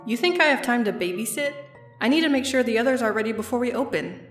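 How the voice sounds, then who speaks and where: A woman's voice: on the high side, expressive, fast, close to an everyday voice. A woman voicing a curt, irritable night security guard in a comic dub, blunt and dismissive in manner. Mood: exasperated. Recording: echoey, faint music behind.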